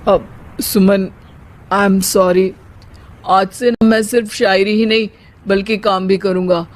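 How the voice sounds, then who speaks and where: A lazy young husband in a cartoon, acted out by a woman, who fancies himself a poet. He declaims slowly and theatrically, as if every line were a couplet. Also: mid-range voice, steady tone, natural voice. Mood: sheepish, earnest. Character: lazy, theatrical young married man who spouts comic rhyming poetry. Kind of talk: cartoon story acting.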